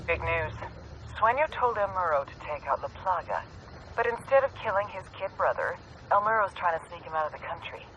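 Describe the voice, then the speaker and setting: An actor playing a brisk, upbeat intelligence contact in a military game, heard over a noisy radio link. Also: male voice, high-pitched, expressive. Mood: brisk, eager. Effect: radio transmission. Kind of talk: military game cutscene acting. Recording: dull radio link with background noise.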